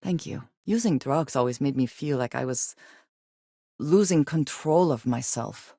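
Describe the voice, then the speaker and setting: An actress playing a guarded, earnest female companion in a space adventure video game, with formal, measured diction. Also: a bass voice, animated, warm tone, husky, dry recording, exaggerated voice. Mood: measured, candid. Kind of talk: scripted video game character dialogue. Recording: very dry, close studio recording.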